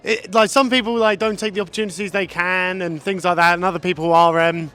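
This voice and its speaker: A man's voice: sits high, fairly even intonation, a little fast, sharp tone. A man in his twenties giving a hesitant, casual opinion as an interviewee. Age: 20s. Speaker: street interviewee.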